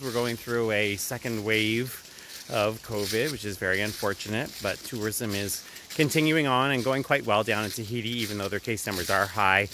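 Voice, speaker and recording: A man, giving a casual, conversational travel update as he walks outdoors. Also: mid-range voice. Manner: conversational, talking while walking. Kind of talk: casual walk-and-talk vlog update. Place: outdoors, walking through autumn woods. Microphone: phone at arm's length, earbuds worn. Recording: outdoor phone recording with background noise.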